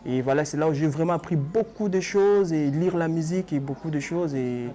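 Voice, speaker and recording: Jeune chanteur congolais à la voix lasse. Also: male voice, a little high, brisk, warm tone. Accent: Congolese French. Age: young adult. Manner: tired-sounding, hesitant, many fillers. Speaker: guest musician.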